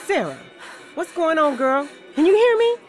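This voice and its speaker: An actress playing an excitable, slangy young female friend in a radio drama. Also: high-pitched, moderate pace, soft background music. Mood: alarmed.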